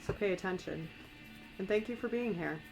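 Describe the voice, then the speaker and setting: An American woman with a slightly raspy voice from a cold, giving a soft, meandering, conversational ASMR-style tarot reading. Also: mid-range voice, normal speed, music in the background, poor audio. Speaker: tarot reader and astrologer.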